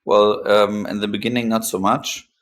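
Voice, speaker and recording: Low monotone guy, podcast guest talking haltingly, pauses a lot. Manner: hesitant, pausing often between phrases. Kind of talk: interview conversation, recounting own experience.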